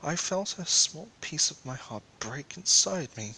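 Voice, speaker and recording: A man giving a theatrical amateur dramatic reading of a first-person story, with breathy asides. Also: fairly high pitch, expressive, a little slow, bright, forceful tone, a little hiss or hum, rough recording. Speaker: amateur fan fiction reader.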